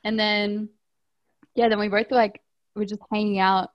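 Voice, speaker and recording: A woman's voice: medium pitch, little pitch movement, a little slow. A woman, co-hosting a podcast over a phone call, upbeat and laughy, full of "like". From Australia. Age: 20s. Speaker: podcast co-host. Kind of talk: casual chat.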